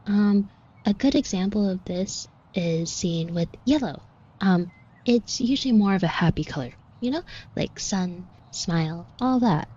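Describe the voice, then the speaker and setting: A woman playing a shy, flustered florist with a crush in an audio roleplay, speaking softly and breathily in a hesitant, apologetic way. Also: medium pitch, expressive, unhurried, slightly rough, dull audio. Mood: nervous rambling. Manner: hesitant, stammering, rambling, apologetic. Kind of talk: intimate roleplay audio acting.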